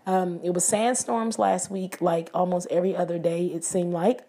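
A woman with a raspy voice hosting a casual devotional podcast, talking earnestly and prayerfully to her listeners. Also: on the low side, fairly even intonation, moderate pace, dark tone. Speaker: podcast host. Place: living abroad, indoors.

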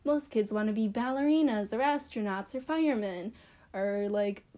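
Mellow-voiced young woman rambling casually. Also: medium pitch, normal speed. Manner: rambling, self-deprecating, lots of fillers. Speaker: vlogger. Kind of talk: casual chatty storytelling.

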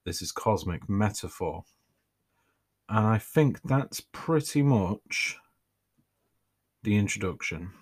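A man hosting his own podcast, reading his writing aloud in a relaxed way with dry, self-mocking humour. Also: fairly low pitch, takes it slowly, pauses often, slightly rough. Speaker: podcast host and author.

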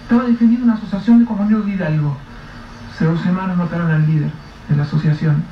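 A man's voice: high-pitched, fast talker, lightly acted. A man playing an evasive, frightened colleague. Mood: guarded. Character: anxious male colleague, evasive, protective. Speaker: supporting character. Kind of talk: radio drama acting. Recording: distant, roomy, dull, noisy radio-play recording.

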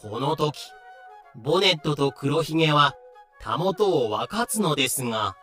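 A man's voice: a little high, animated, a little slow, sharp tone, soft background music. A man reading scripted narration for a voice drama.

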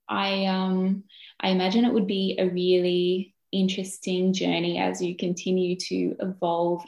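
A woman interviewing a guest, warm and hesitant, with many pauses and ums. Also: lowish voice, roomy sound. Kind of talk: gentle interview questions.

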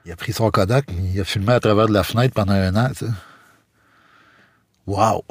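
A man's voice: fairly low pitch, fairly even intonation, normal speed, breathy edge, no echo, studio quality. A man with a Quebec French accent narrating casually and personally, in a colloquial, hesitant way. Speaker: visual artist introducing his guest.

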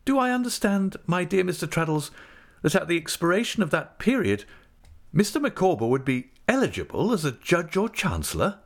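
A man's voice: fairly high pitch, wildly swooping pitch, normal speed, gravelly, pitched above the performer's own voice, full character voice. A man voicing a self-important, doting middle-aged wife who makes earnest, businesslike pronouncements in an audiobook. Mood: affable, businesslike. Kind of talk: audiobook character acting.

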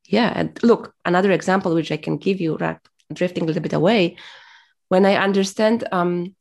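A woman's voice: fairly low pitch, moderate pace, creaky, darkish tone. A woman whose first language is Polish, speaking as an interview guest in a reflective, motivational way, hesitant and full of fillers as she searches for words.

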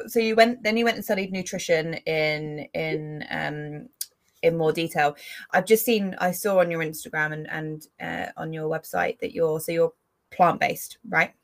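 A woman podcast host with a slightly low voice chats casually as she interviews. Her manner is enthusiastic and informal, and she repeats words for emphasis. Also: steady tone, moderate pace, creaky.

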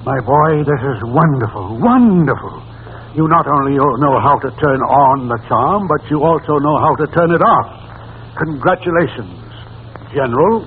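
An actor playing a scheming, excitable royal minister in an old-time radio comedy, on a noisy vintage recording. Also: male voice, a little high, normal speed, narrow, tinny audio, natural voice. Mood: pleased, conspiratorial.

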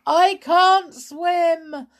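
A woman voicing a frightened male sailor doll in a children's story, wailing and yelling in panic. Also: extremely slow, exaggerated voice. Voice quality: high-pitched wail.

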